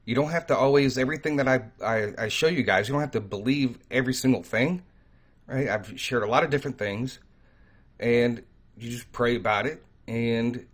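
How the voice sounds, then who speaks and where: A man hosting his own channel, talking through Bible stories and faith in a loose, rambling monologue with earnest emphasis. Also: mid-range voice. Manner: earnest, rambling, pauses to stress key words. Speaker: host of a faith and crypto channel. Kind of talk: casual preaching monologue.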